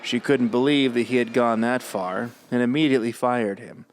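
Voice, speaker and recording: A man's voice: mid-range voice, fairly even intonation, normal speed, slight background noise, poor audio. A man co-hosting a podcast, reading listener stories aloud and dropping in dry sarcastic asides.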